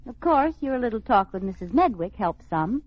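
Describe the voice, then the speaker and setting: An actress playing a playful, scatterbrained, affectionate housewife, coy and teasing, in an old radio comedy style. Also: female voice, a little high, sing-song, moderate pace. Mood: coy, tender. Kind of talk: 1940s-50s radio comedy acting. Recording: dull, muffled old radio recording.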